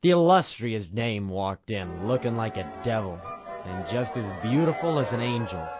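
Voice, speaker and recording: Man doing a brooding low hardboiled noir detective. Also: very animated, over loud music. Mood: brooding, ominous. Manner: slow, low, deliberate dramatic delivery. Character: hardboiled noir private-eye narrator, brooding and dramatic. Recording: dull lo-fi radio sound.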